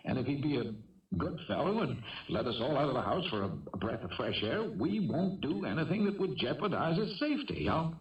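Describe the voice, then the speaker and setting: A man's voice: on the high side, sing-song, a little fast. An actor playing an anxious, pleading family man held hostage in a 1970s radio drama. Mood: urgent, pleading. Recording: dull, echoey 1970s radio recording, distant.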